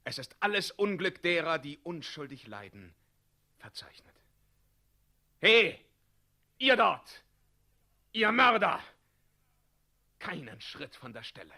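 An actor playing a noble knightly hero, grave and declamatory, in a radio play. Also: male voice, high-pitched, very animated, slow pace, long pauses, sharp tone, exaggerated voice. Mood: stern, angry. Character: noble knightly hero, tender lover, stern avenger. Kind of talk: 1960s German radio play acting.

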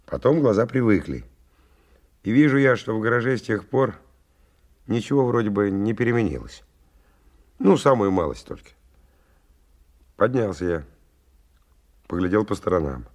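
An actor playing a gruff, wary stalker who gives terse orders and tells his story in a 1990 radio play. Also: low voice. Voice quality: gravelly, husky. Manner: terse, colloquial, commanding. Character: gruff, wary, bossy male stalker leading a dangerous expedition. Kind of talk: 1990 radio drama acting with first-person narration.

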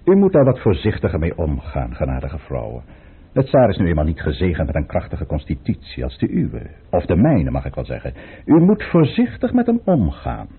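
An actor playing a cold, scheming Russian count in a Dutch radio play, theatrical and insinuating. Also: male voice, low-pitched, wildly swooping pitch, a little fast, breathy edge, full character voice. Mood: silky, hateful. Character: scheming Russian count, commanding, cold, silky courtly conspirator. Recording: muffled, band-limited old radio recording.

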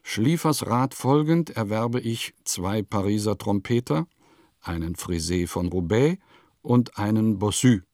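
An actor playing a wry traveling letter-writer in a radio play, with a measured, deliberate delivery that savors every odd detail. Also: male voice, lowish voice, lively intonation, darkish tone, no room sound, clean studio sound. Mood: matter-of-fact. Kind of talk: literary radio play monologue, scripted narration.

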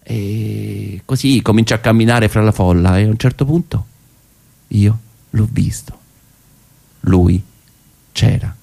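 Gravelly Roman man telling a stage monologue. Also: fairly low pitch, slow, pauses often, mellow. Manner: conversational, hesitant, with wry asides. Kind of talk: theatrical first-person narrative monologue in colloquial speech.

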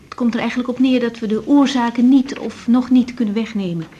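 A woman's voice: on the high side, fairly even intonation, fairly quick, mellow, breathy edge. A young woman acting a patient, tactful doctor in a 1980s naturalistic radio drama, explaining things carefully and reassuringly. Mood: gently reassuring. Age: young adult.

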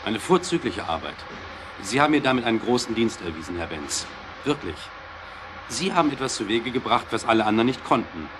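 A man's voice: medium pitch, big pitch swings, a little fast, background noise, lightly acted. A man playing a courteous, supportive business client in a German radio play, polite and formal in his delivery. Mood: appreciative, resolved. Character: courteous, supportive gentleman client and would-be investor. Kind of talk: German audio drama acting.

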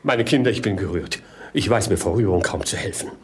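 An actor playing a muddled, pompous and sentimental old king in a 1950s German radio play, theatrical and husky. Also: fairly low pitch, animated, fairly quick. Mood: moved, self-important. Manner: pompous, theatrical, abruptly swooping between musing and exclaiming.

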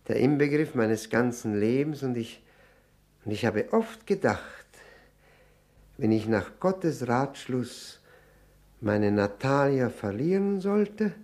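A man's voice: medium pitch, a little mellow. An actor in a German radio drama playing a nervous, servile drinking widower, stammering, flustered and repeating his words. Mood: sentimental.

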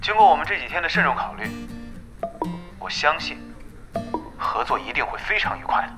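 A polite, higher-voiced young guild leader. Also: male voice, big pitch swings. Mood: formal, pleased. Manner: courteous, measured. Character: polite, businesslike young man, ambitious gaming guild leader. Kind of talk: audio drama acting. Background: background music.

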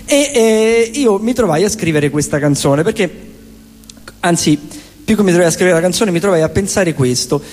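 A man's voice: high voice, expressive, moderate pace, a little mellow. A man with a Roman Italian accent performing live comic musical storytelling in a colloquial, theatrical way. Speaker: stage performer and singer-songwriter. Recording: reverberant live stage with music. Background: guitar music.

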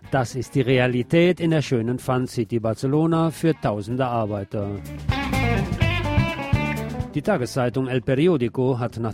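A man's voice: medium pitch, a little mellow. Man reading radio commentary over music. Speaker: radio host. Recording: music bed under the voice.

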